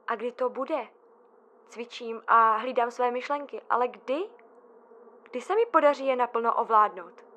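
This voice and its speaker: A distressed young female patient in a radio play. Her voice sits fairly high and she speaks with earnest insistence, as someone long shut away and tormented by her own questions. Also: animated, pushed and bright. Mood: anguished, questioning. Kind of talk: dramatic audio drama monologue and dialogue.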